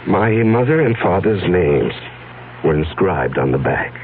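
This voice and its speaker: An actor playing an anguished fugitive confessing to his fiancée in a 1970s radio drama, halting and earnest with heavy pauses. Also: low voice, sing-song, exaggerated voice. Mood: troubled, confessing. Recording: narrow-band vintage radio broadcast, faint hiss.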